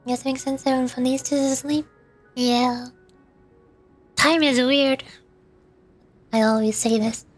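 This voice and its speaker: An American woman streamer with a high-pitched voice chats casually through a game. Her manner is playful, with dry little jokes. Music runs clearly in the background. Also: fairly even intonation, measured pace, pauses often. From United States. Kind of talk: casual playthrough commentary.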